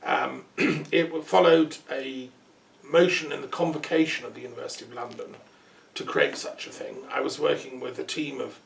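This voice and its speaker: A man with a very gravelly, fry-laden voice, sitting back from the mic in an echoey room. He hosts a relaxed interview, thinking aloud and rambling a little. A faint hiss runs underneath. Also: mid-range voice, normal speed. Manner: rambling, thoughtful, with frequent ums and asides. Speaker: host. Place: peace museum building. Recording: roomy sound from a distance, faint noise.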